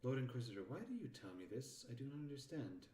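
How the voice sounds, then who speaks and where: A man's voice: mid-range voice, expressive, fast talker, warm tone, far from the mic, pitched above the performer's own voice, natural voice. A man voicing a humble, bewildered overseer in a sci-fi story, deferential and questioning in formal words. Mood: confused. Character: humble, bewildered male overseer addressing his lord. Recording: roomy echo with faint background noise.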